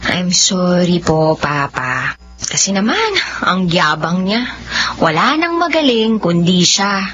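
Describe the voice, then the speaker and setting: An actress playing a friendly, candid young woman in a Filipino radio drama, with an expressive conversational delivery. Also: fairly low pitch, slow pace. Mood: respectful, exasperated. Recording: dull lo-fi audio with background noise.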